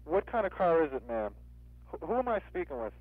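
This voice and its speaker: A man's voice: on the high side, sing-song, fairly quick, a little hiss or hum. A man working as a rental agent on a customer service phone call, insistent and questioning, often repeating himself. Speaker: car rental office agent. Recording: tinny, narrow-band phone line.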